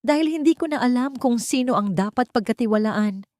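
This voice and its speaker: A woman's voice: fairly high pitch, a little fast, dry recording, clean studio sound, pitched above the performer's own voice, close to an everyday voice. A woman with a Filipino accent voicing a betrayed, emotionally drained woman in an audiobook drama, cold and firm. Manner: flat, lifeless, firm.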